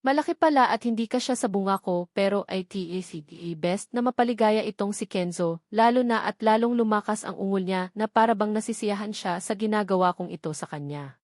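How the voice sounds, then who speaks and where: Female audiobook narrator for a first-person romance novel, mid-low pitch, very dry sound. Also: brisk, a little mellow, no room sound.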